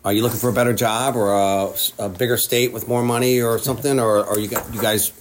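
A man's voice: medium pitch, fairly even intonation, fairly quick, a little hiss or hum. A man interviewing two guests, asking curious and informal questions.

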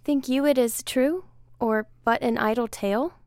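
A woman's voice: a little high, normal speed, darkish tone, dry recording, pitched above the performer's own voice, close to an everyday voice. A woman voicing an uneasy woman traveler. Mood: doubtful. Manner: uneasy, questioning. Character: doubting, anxious woman traveler.

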